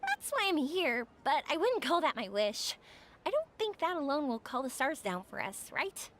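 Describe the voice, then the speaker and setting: A woman playing a cheerful, kind-hearted, chatty young woman in an anime-style game, earnest and expressive. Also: high-pitched, moderate pace. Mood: excited, playful. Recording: music behind the voice.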